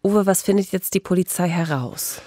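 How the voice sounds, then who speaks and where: A woman's voice: on the low side, normal speed, mellow, no room sound, studio quality. A woman hosting a true-crime podcast in a conversational way, asking questions and commenting. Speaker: podcast host.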